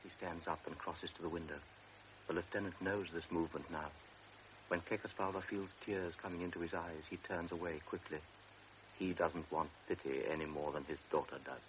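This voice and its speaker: A man narrating a radio drama in a measured, calm, scripted way. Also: low voice. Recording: lo-fi radio recording with faint hiss.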